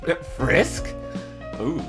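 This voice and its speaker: A man with a slightly stuffy nose chatting casually with live stream viewers in an excitable, playful, rambling way. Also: mid-range voice, drawn-out words, gravelly. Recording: music behind the voice. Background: background music with guitar.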